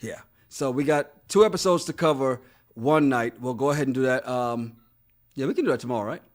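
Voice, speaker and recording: A man's voice: medium pitch, fairly quick. A man co-hosting a casual podcast, chatting loosely, reading viewer comments aloud, laughing often and repeating words. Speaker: podcast co-host. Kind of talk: casual chat reading live chat.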